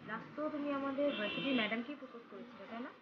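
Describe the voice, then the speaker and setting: A woman with a Bangladeshi accent playing a friendly, gently forward young student in a web drama. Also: fairly high pitch, very fast, close to an everyday voice. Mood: gently teasing. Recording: dull, noisy location sound.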